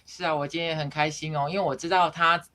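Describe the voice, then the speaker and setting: A woman's voice: low voice, steady tone, fast, bright, forceful tone. A woman from Taiwan, a co-host, speaking earnestly and thoughtfully with a few hesitations over a video call. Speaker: co-host, dental hygienist.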